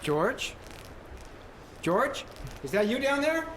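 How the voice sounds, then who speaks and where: Small-town buddy male actor, very animated high voice, folksy period radio delivery, roomy live recording. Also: slow pace, heavily acted. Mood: puzzled, exclaiming. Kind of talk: 1940s radio acting. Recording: roomy, slightly noisy stage recording.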